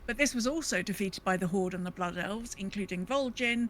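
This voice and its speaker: A woman co-hosting a podcast, reading a game lore recap casually with wry, deadpan asides. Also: medium pitch, brisk. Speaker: podcast co-host. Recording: faint background noise.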